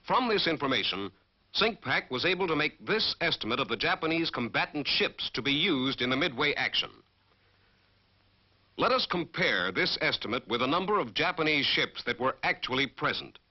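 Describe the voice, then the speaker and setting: A man with an American accent giving formal, measured, scripted military narration as the narrator of a training film. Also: a little high, big pitch swings. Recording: dull 1940s film soundtrack.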